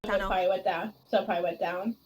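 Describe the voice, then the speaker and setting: A woman's voice: mid-range voice, fairly even intonation, rapid-fire, a little mellow, soft background music, rough recording. A woman streamer chatting casually as she plays a game, playful and easily distracted. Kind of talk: casual gameplay chat.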